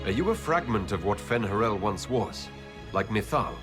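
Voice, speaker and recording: Game casting: male actor as thoughtful elven leader, lowish pitch, earnest, probing, deliberate, music underneath. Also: expressive, lightly acted. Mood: probing, serious. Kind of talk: dramatic video game dialogue.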